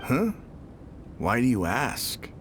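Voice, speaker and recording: A man's voice: mid-range voice, huge pitch swings, slow, noisy background. A man playing a shrugging, defensive local worker in a video game, with offhand but exaggerated inflection. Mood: casual, unbothered. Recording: game music behind the voice.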